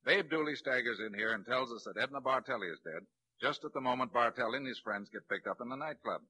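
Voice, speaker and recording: An actor playing a shrewd police lieutenant in a crime drama, a probing interrogator who can sound falsely kindly. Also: male voice, mid-range voice, expressive, fast, pushed and bright, poor audio. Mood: businesslike. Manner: probing, soothing then blunt. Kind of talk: dramatic film-noir crime acting.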